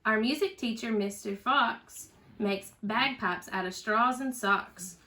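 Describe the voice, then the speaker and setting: A woman reading a children's storybook aloud in an expressive, teacherly way, with chatty asides and questions to a young listener. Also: a little high, slow pace. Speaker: parent reading a storybook aloud for a school read-aloud.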